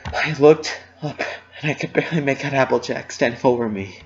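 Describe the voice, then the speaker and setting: A man reading fan fiction dramatically in the first person, with emotional, halting narration. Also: medium pitch, dull audio. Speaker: amateur story reader.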